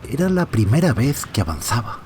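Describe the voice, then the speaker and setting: A man playing an anxious married father who narrates a mystery audio drama in a brooding, hushed way, often dropping toward a whisper. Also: mid-range voice, very animated, brisk, slight background noise, natural voice. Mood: pensive. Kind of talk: audio fiction first-person dramatic narration.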